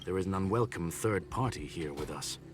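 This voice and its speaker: A man voicing a learned mechanical gentleman in a video game, formal, calm and eloquent. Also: deep voice, a little fast. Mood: courteous, analytical. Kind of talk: scripted video game dialogue. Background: game music.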